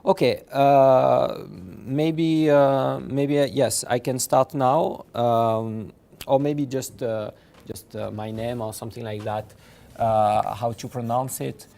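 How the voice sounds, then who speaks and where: A man's voice: medium pitch, fairly even intonation, gravelly, warm tone. Hesitant man with a French accent, lecturing. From France, originally from Morocco. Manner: hesitant, halting, repeats words. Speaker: university lecturer. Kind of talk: informal physics lecture. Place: lecture room with blackboard.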